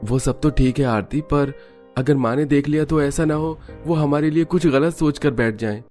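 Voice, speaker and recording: A man's voice: fairly high pitch, moderate pace, dark tone, natural voice. A man playing an easygoing, mildly concerned young husband in a cartoon family story, with music behind. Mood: puzzled, concerned.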